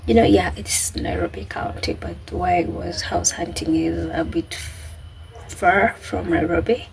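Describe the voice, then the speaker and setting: A woman vlogger with a Kenyan accent telling a personal story casually, in a halting, conversational way that acts out bits of dialogue. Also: lowish voice, vocal fry, noisy background.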